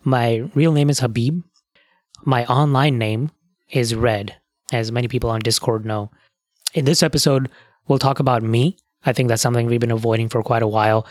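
A man's voice: medium pitch, steady tone, moderate pace, no echo, studio quality. A man hosting a podcast, chatting casually and explaining things with asides and fillers. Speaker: podcast host.

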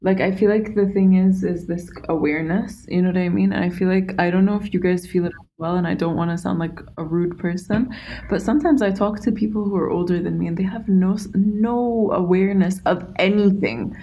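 Young woman, low mellow voice. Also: steady tone, fairly quick, muffled recording, a little hiss or hum. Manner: reflective, thinks aloud with many fillers. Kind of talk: casual podcast chat.

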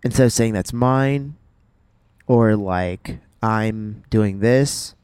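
A young man co-hosting a podcast, chatting in a playful, animated way with frequent "um" restarts. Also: on the low side, takes it slowly, smooth, no room sound. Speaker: podcast co-host. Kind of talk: casual chat.

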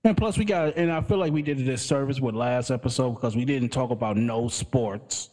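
A man co-hosting a casual podcast, agreeable and animated, swearing casually. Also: mid-range voice, brisk, big-room sound. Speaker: podcast co-host.

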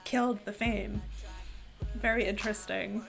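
A woman's voice: mid-range voice, steady tone, a little slow, gravelly, over music. A woman co-hosting a podcast, chatting casually and musing analytically. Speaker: podcast co-host. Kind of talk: casual chat.

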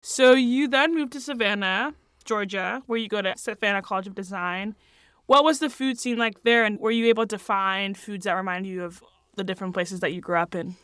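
A woman's voice: medium pitch, brisk, fairly bright tone, clear, resonant tone, dry recording, clean studio sound. A woman hosting a food podcast, reading an ad and asking upbeat interview questions. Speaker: podcast host. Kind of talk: interview and read ad. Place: recording studio.

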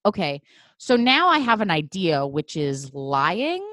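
A woman's voice: on the low side, big pitch swings, measured pace, fairly bright tone. A woman hosting a casual chat in a thoughtful, wry way with many restarts, over a video call.

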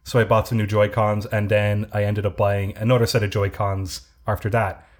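Low-voiced man telling a long story. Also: flat delivery, normal speed. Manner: rambling storyteller with frequent restarts. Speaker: podcast co-host. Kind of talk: casual chat.

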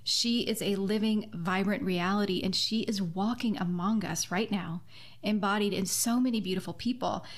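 A woman's voice: mid-range voice, moderate pace. A woman hosting a podcast in a conversational style, speaking earnestly and effusively. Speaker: podcast host and author.

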